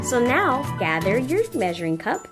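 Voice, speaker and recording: Super high bouncy lady kids show host, exaggerated, talks right to the kids, echoey w music. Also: normal speed. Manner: animated, exaggerated, talks directly to children with questions. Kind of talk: interactive children's read-aloud and science show.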